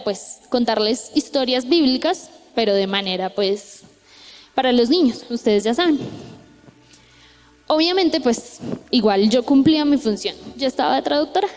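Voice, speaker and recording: A young Colombian woman with a Colombian accent, preaching a chatty youth sermon with stories, often checking in with tag questions. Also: a little high. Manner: chatty, frequent tag questions, lively retelling. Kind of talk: conversational sermon with storytelling. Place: church hall. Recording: echoey church hall.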